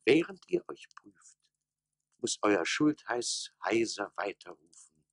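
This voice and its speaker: An actor playing a pompous, forlorn mayor of a ruined town of fools in a radio play, with a hoarse, breathy rasp and theatrical, declamatory delivery. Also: male voice, fairly low pitch, very animated, no echo, studio quality, full character voice. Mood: pleading. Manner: theatrical, declamatory, slow dramatic pauses. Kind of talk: German radio play monologue.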